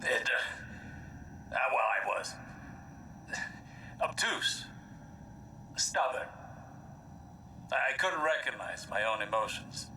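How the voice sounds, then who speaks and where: Dry stubborn boyfriend in a game cutscene, unhurried, mid voice, halting, echoey and a bit far. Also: male voice, mid-range voice, lively intonation, sharp tone, heavily acted. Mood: sheepish, confessing. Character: stubborn, dryly self-deprecating male lover. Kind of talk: scripted video game cutscene dialogue. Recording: roomy, distant, faintly noisy sound.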